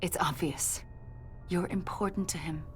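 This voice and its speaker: An actress playing a confident government agent in a video game, questioning in a pointed, insinuating and accusatory way. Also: female voice, lowish voice, steady tone, unhurried, soft background music, close to an everyday voice. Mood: pressing. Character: probing female government agent, confident, accusatory, smoothly needling. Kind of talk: video game dialogue acting.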